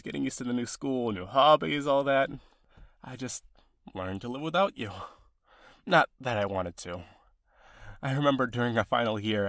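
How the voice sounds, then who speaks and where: A young man playing a friendly, sentimental old friend in an audio roleplay, casual and expressive with some hesitation. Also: medium pitch, no room sound, studio quality. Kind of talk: ASMR-style roleplay, one side of a conversation.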